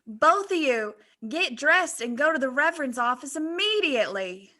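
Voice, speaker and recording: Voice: high-pitched, very animated, moderate pace, pushed and bright, voice pushed up, exaggerated voice. A performer voicing a prim, scolding preppy woman in a comedic fan fiction reading.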